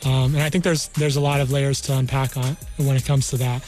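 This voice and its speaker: An American man, a guest on a radio interview, explaining things in a measured, halting way. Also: medium pitch, level tone, darkish tone. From New Mexico, United States. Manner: halting, measured, repeats "you know". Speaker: guest, army career counselor. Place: radio studio. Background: music.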